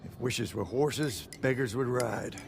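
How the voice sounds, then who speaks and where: An actor playing a blunt, shrewd older recluse in a video game, grumbling in a folksy way. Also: male voice, mid-range voice, measured pace, creaky, noisy background. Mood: blunt, probing.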